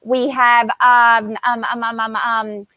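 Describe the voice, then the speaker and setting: A woman's voice: fairly high pitch, flat delivery, slow pace, bright, forceful tone, dry recording. A woman co-hosting a podcast in casual banter, emphatic, sarcastic and free with swearing. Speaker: podcast co-host. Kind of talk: casual opinionated panel banter.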